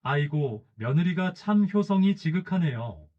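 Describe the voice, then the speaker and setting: A man's voice: a little high, animated, measured pace, muffled recording. A man voicing an effusive, gullible village woman in a folktale, chatty and full of praise. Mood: gushing. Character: gushing, gullible village women.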